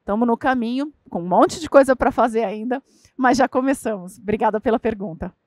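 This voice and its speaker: A woman's voice: a little high, fairly quick, darkish tone, no echo. A woman with a Brazilian Portuguese accent speaking on a conference panel as a corporate diversity lead. She answers conversationally and often adds tag questions and fillers. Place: conference stage.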